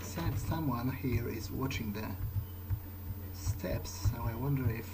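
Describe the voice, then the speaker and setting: A man's voice: medium pitch, takes it slowly, background noise. A man playing a video game in character, thinking aloud in a hesitant, musing way as he goes. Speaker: gamer roleplaying in character.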